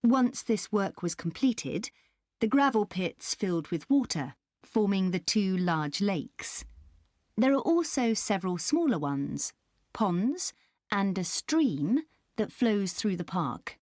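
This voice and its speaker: A woman playing a helpful country park staff member in a scripted dialogue, explaining things deliberately. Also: mid-range voice, very animated, a little mellow, no room sound, studio quality. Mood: helpful, informative. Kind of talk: scripted listening-test dialogue.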